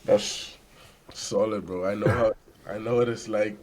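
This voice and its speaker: A man's voice: on the low side, steady tone, measured pace, darkish tone, a little hiss or hum. A man from the Caribbean hosting a podcast, greeting casually and asking questions in a friendly, slangy way. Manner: friendly, laid-back slang. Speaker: podcast host. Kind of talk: casual interview chat.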